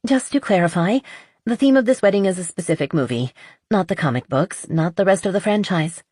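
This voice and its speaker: A woman voicing a tired, businesslike young female wedding planner in an audiobook, brisk and organized. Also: lowish voice, lively intonation, dark tone, breathy edge, dry recording, studio quality, pitched above the performer's own voice.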